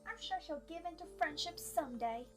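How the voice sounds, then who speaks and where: A woman playing a sweet, cheerful young female pony who is an encouraging, earnest friend in an animated audio drama. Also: very high voice, brisk, music in the background. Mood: earnest, hopeful.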